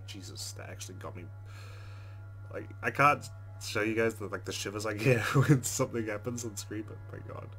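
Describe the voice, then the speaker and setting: A man hosting a let's play, reading game narration aloud expressively and adding casual commentary. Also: on the low side. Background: game music.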